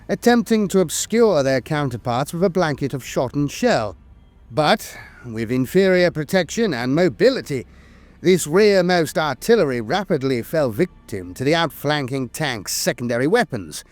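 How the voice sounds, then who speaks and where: A man's voice: fairly high pitch, dry recording. A man reading scripted war fiction as a lore narrator, with a dramatic storytelling delivery.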